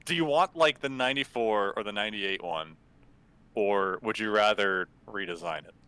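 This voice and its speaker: A man chatting on a stream, telling animated stories and swearing casually. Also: lowish voice, normal speed, clear, resonant tone.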